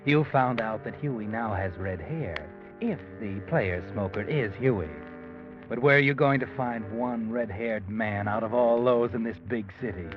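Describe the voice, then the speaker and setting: An actor playing an all-knowing, taunting narrator who needles the character in 1940s radio suspense style. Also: male voice, on the low side, unhurried, lightly acted. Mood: grim, pressing. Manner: taunting, dramatic, insinuating. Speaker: narrator of a crime mystery drama. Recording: muffled old radio broadcast with music.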